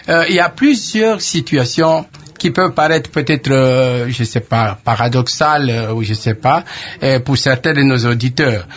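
A man's voice: mid-range voice, fairly bright tone, husky, lo-fi sound. A man explaining as a radio guest, didactic and checking for agreement. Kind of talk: explanatory interview answer.

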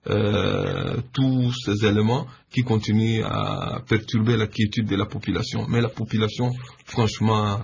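Casting: civil society leader, husky and gravelly, halting, dull lo-fi line. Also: male voice, lowish voice, steady tone. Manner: hesitant, many fillers, measured. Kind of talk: phone-in radio interview answers.

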